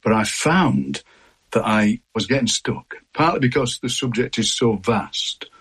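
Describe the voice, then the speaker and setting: A man's voice: mid-range voice, lively intonation, a little slow, fairly bright tone, husky. An English man, a poet, chatting as a guest in a radio studio interview. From England.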